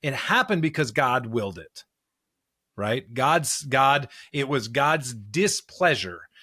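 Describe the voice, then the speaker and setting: A man with a bright, forward voice in a dry, close recording. He talks like a podcast co-host in discussion, thinking aloud and checking his points with frequent tag questions. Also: mid-range voice, slow pace, slightly rough, no echo. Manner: animated explainer, often checking with "right?". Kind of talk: conversational discussion of scripture and pop culture.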